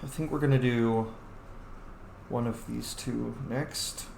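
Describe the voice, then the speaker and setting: A man's voice: mid-range voice, steady tone, takes it slowly, creaky, a little mellow. A man doing casual, in-character commentary as he plays a role-playing video game. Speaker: gamer playing a role-play series. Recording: roomy echo, faint background noise.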